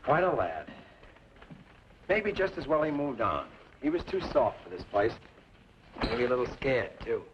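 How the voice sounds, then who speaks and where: A man's voice: medium pitch, sing-song, a little slow, long pauses. An actor playing a weary, reluctant small-town newspaperman and father in an old-time radio western. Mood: resigned. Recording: muffled vintage radio recording, faint noise.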